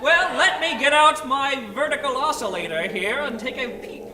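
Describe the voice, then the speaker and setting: A man's voice: extremely high pitch, normal speed, roomy sound, crowd noise, noisy background. A man acting a fussy, genteel, easily flustered professor in an old-time radio play. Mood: courtly. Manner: fussy, flustered, ornate exclamations. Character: bumbling, genteel, excitable male science professor.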